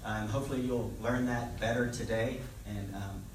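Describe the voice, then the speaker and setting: A middle-aged man introduces himself as a candidate at a public forum, plainly and informally, pausing with filler words. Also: mid-range voice, steady tone. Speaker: political candidate. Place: public meeting hall, indoors. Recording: echoey room, distant, noisy.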